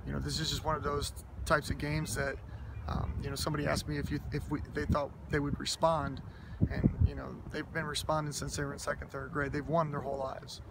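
A gravelly man answers interview questions outdoors, steady in tone and rather flat in melody. He sounds earnest and humble, starting over and padding his answers with fillers, while traffic hums behind him. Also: fairly high pitch, brisk. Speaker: high school football head coach. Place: outdoors by a school stadium. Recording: outdoor noise and passing traffic.

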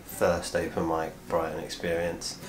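A man's voice: low-pitched, monotone, a little slow. A man in his twenties talking casually to camera as a vlogger, in a small room. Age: 20s. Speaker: vlogger and musician. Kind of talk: casual vlog talk.

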